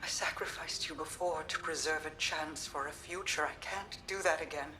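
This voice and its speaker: Faint music sits behind a solemn video game queen. She is formal and guarded, a protective elder sister whose measured words often turn breathy and hushed. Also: low voice, low-quality recording. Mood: regretful. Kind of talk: dramatic game dialogue.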